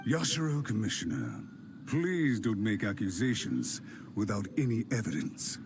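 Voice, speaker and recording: An actor playing a scheming male official in a game, sneering and quick to take offence. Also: lowish voice, sing-song, noisy background. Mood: indignant. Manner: sneering, indignant. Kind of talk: game cutscene voice acting.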